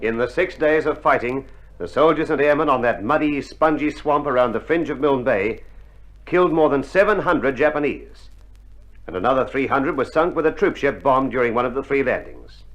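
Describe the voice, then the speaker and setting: A man's voice: mid-range voice, lively intonation, normal speed, faint music behind. A man giving solemn, formal scripted narration for a war documentary. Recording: muffled 1960s vinyl record.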